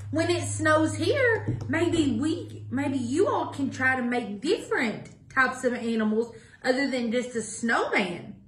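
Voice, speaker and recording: A middle-aged woman reading a picture book aloud to young children in a deliberate sing-song way. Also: high-pitched, fairly bright tone. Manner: sing-song, deliberate, asks listeners questions. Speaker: library story time reader. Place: small indoor room with block walls. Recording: echoey small room.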